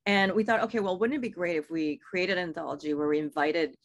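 A woman's voice: on the low side, quick pace. A woman from Singapore, an author and editor, talking in an interview in a chatty way with many fillers and restarts. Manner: chatty, lots of fillers and restarts, laughs easily. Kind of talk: interview conversation.

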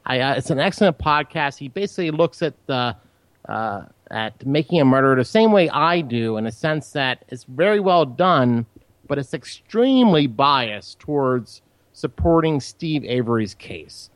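A man's voice: medium pitch, sing-song, normal speed, no echo. Nasal Maryland man, dry sound. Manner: earnest, argumentative, eager to debate. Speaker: co-host. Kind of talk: casual podcast chat.

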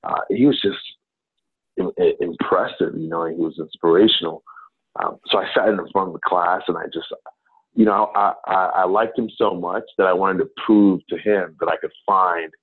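A man's voice: low voice, steady tone, normal speed, breathy edge. A man in his thirties from Ghana, a business consultant talking casually as a guest, rambling with many fillers and restarts. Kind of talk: casual interview talk. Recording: tinny phone-like line.

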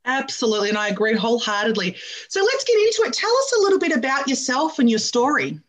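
A woman's voice: high-pitched, big pitch swings, fast talker. A woman hosting a radio interview show, introducing her guest with upbeat enthusiasm.

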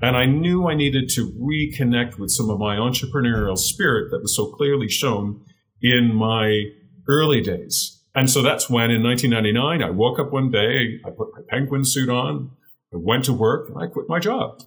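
Guy in his 40s, deeper voice, interview guest telling his story, keeps restarting words, earnest. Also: normal speed, low-quality recording. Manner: earnest, repeats words while searching for phrasing.

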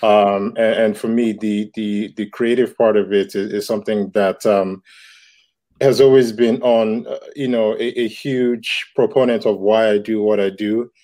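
A man's voice: lowish voice, steady tone, a little slow, mellow. A man in his thirties from Nigeria, a comic book creator answering interview questions in a reflective way, with many fillers and restarts. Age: 30s. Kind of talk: casual interview talk.

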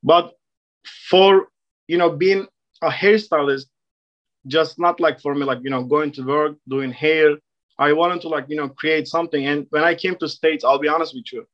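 A man's voice: on the high side, fairly even intonation, muffled recording. A man in his thirties from Turkey with a Turkish accent, a hairstylist guest chatting confidently, with frequent restarts. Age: 30s. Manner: confident, self-assured, halting with repeated restarts. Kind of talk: casual interview chat.

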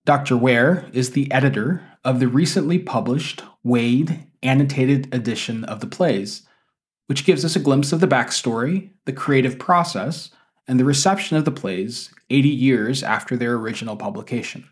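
Measured, articulate male podcast host. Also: medium pitch. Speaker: university teacher. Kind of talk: scripted podcast introduction, then conversational interviewing.

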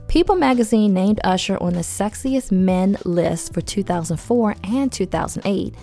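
Female podcast host, congested and hoarse from a cold, casual and sassy, soft music bed. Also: mid-range voice. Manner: chatty, slangy asides. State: head cold, losing voice.

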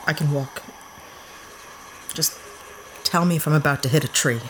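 An actress playing a brash, trigger-happy young heroine who talks bluntly and snappily. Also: female voice, low-pitched, normal speed, noisy background, close to an everyday voice.

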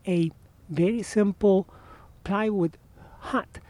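A man in his sixties with an Argentine Spanish accent, a guest telling stories in a casual interview outdoors by a marina, animated and often trailing off to restart his phrases. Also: high-pitched, slow pace. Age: 60s.

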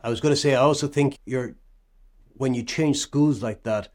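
A male interviewer speaks thoughtfully and reflectively, with a middle pitch. He sometimes restarts his phrases as he works out his point. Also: normal speed. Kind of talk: conversational interview.

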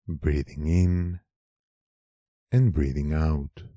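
A man leading a guided meditation in a calm, measured manner, leaving long pauses between short phrases. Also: a bass voice, dry recording, clean studio sound. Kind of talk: slow guided meditation narration.

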